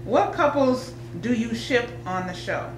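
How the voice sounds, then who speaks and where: A woman's voice: on the high side, big pitch swings, measured pace. A woman casually putting questions to a group as the interviewer. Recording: noisy echoey room with hum.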